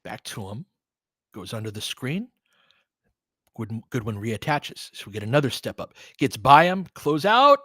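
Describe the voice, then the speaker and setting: A man giving informal, partisan live basketball commentary as host, excitable, with whoops and casual swearing. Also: mid-range voice, moderate pace, husky, no room sound, studio quality.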